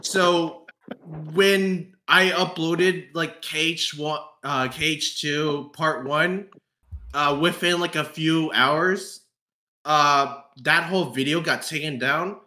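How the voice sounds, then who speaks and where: A man with a slightly high, bright and forceful voice chats casually as a podcast host. He stumbles over his phrasing, often stammering and repeating words before he gets a sentence out. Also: slow pace. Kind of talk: casual chat.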